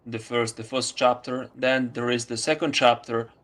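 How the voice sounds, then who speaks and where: A man with an Italian accent, speaking English as a second language in a casual conversation, halting with many fillers. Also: mid-range voice, level tone, fairly bright tone. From Italy. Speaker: student.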